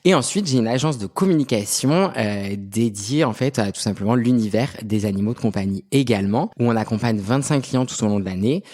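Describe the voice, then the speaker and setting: A man's voice: lowish voice, a little fast, hardly any pauses, darkish tone. A man in his thirties from Brittany, chatting as an interview guest with many fillers and restarts. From Brittany, France. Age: 30s. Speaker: guest, pet specialist and agency head. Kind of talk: casual interview talk.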